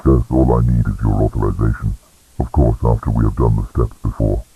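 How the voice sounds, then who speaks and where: A man playing a grim, obedient officer in a dramatic scenario, with a very deep gravelly voice in muffled lo-fi sound. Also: fairly even intonation, a little fast, dry recording, exaggerated voice. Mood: grimly obedient. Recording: heavily muffled lo-fi.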